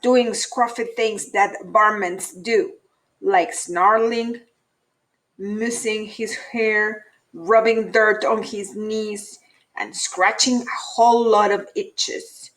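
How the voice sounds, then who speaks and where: A woman's voice: medium pitch, steady tone, slow, a bit bright, rough recording. A woman teacher reading a children's story aloud expressively to young pupils. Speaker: elementary school teacher.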